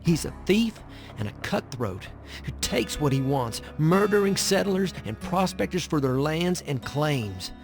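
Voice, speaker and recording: An earnest young man of faith in an Old West game. He sounds solemn and moralizing, with a fairly high, gravelly voice over music. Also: big pitch swings, measured pace. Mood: troubled, solemn. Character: earnest, pious young preacher, brother of outlaws. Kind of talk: video game cutscene acting and narration. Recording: music behind the voice.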